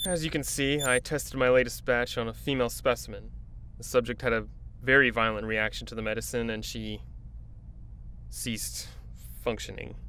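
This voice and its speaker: An actor playing a driven, commanding research doctor in an audio drama, with a clinical way of talking. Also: male voice, mid-range voice, steady tone, moderate pace, pushed and bright, a little hiss or hum, lightly acted. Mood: measured, clinical.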